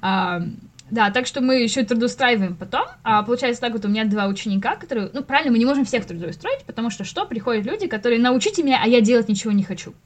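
Fast-talking, higher-pitched woman in casual conversation. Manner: animated storytelling, acts out quoted remarks, laughs often. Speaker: animator and animation teacher, podcast guest. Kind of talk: casual interview chat.

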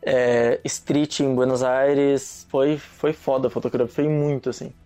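A man's voice: medium pitch, flat delivery, takes it slowly, mellow, clean tone, soft background music. A young man, a guest in a casual chat, telling stories in an easygoing, slangy way. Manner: easygoing storyteller, slangy, laughs often.

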